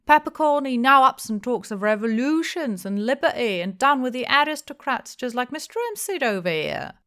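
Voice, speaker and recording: A woman voicing a blustering, jovial, opinionated male innkeeper in an audiobook, with loud, emphatic, rustic speech. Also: on the high side, very animated, normal speed, voice pushed up, exaggerated voice. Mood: indignant, blustering.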